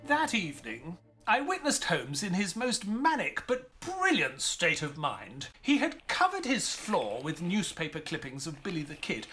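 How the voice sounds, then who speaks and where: An actor playing a loyal, polite doctor who narrates and speaks in an earnest, somewhat hesitant way. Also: male voice, high voice, sharp tone, heavily acted. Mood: narrating.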